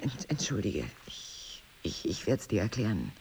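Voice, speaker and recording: An actress playing a poised, teasing and evasive wife, articulate and ironic, in a naturalistic relationship drama. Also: female voice, rumbling low, sing-song, a little slow, gravelly, darkish tone, slight background noise, heavily acted. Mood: flustered. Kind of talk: two-hander relationship drama acting, naturalistic.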